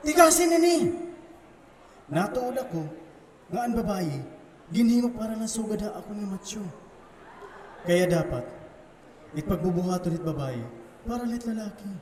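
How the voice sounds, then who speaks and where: A man's voice: sits high, sing-song, moderate pace, long pauses. A man acting in an amateur stage play as a domineering macho husband, barking commands at his wife. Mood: boastful. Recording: echoey hall, distant mic, faint noise.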